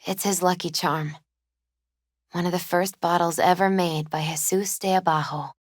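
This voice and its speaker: Actress as a low, gentle, mystical young tarot reader. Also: female voice, steady tone, normal speed, dry recording, clean studio sound, natural voice. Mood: wistful, reminiscing. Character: gentle, spiritual young woman tarot reader, grieving and earnest. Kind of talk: video game character dialogue and tarot readings.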